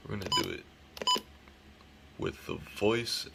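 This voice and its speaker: A man with a fairly low, creaky voice presents a casual device demo. He talks informally, as a hobbyist hosting his own video. The recording is heavily noisy. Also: fairly even intonation, unhurried. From United States. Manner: informal, occasionally swearing. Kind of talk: casual unboxing and setup demo.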